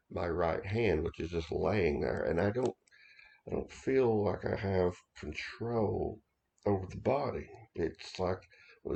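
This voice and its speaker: A man in his thirties or older speaks in a very low, heavily gravelly voice. He tells a personal story in a loose, unscripted way, circling back, repeating phrases and pausing on fillers as he feels his way through the account. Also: mellow. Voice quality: very deep gravelly voice. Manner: halting, repeats himself, many restarts and fillers. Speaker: occult author recounting experiences. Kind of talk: casual first-person storytelling.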